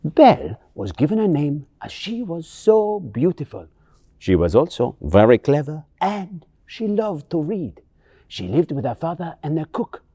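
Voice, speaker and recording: Animated male storyteller, mellow and husky. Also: mid-range voice, dry recording. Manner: theatrical, expressive. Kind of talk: scripted bedtime story narration, pantomime flavour.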